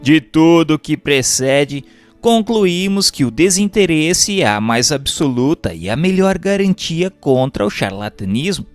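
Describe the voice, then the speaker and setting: Brazilian man narrating with lively intonation, over music. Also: fairly high pitch, measured pace. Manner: formal, didactic. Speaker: narrator reading a book aloud. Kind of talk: scripted audiobook-style narration of a doctrinal text.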